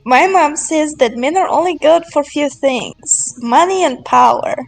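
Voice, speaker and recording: A woman's voice: high voice, measured pace, vocal fry, no room sound, faint music behind, low-quality recording. A woman voicing an earnest, blunt young woman who speaks in simple broken English in a tabletop game. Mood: blunt, innocent.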